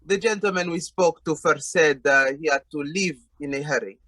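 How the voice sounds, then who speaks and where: A man's voice: on the high side, normal speed, bright, forceful tone. An actor playing a meek, flustered father in a radio play, stammering and apologetic as he talks. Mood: sheepish, hesitant. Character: meek, flustered immigrant father shopping with his brat.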